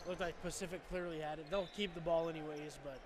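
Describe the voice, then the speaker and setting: Clear background noise surrounds a young man with a high voice. He gives color commentary at a live sports venue, weighing up the calls and plays. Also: steady tone, fast talker.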